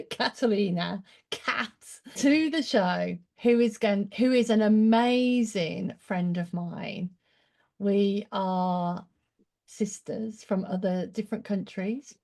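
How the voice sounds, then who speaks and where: Female talk host, effusive and enthusiastic; mellow, relaxed timbre. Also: mid-range voice, vocal fry. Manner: effusive, gushing praise, animated. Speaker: show host. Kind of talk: casual interview chat.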